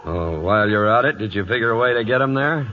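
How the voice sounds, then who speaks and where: An actor playing a hard, domineering American cattle baron, blunt and commanding, in a 1940s Western radio drama. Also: male voice, on the low side, expressive, fast, close to an everyday voice. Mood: gruff. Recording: muffled 1940s radio broadcast.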